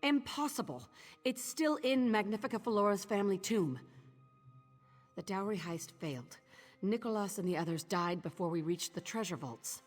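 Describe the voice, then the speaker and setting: A woman's voice: medium pitch, animated, normal speed, lightly acted. An actress playing a weary, guilt-ridden woman who leads a thieves' guild in a video game, speaking in an earnest, terse, commanding way. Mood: shocked. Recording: long reverb, music behind.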